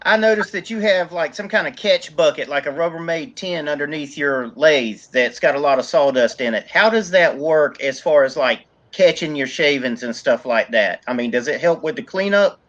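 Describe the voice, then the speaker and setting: Male co-host, slightly high voice, casual chat reader, dull stream audio. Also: normal speed, hardly any pauses, fairly bright tone. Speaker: co-host reading viewer questions.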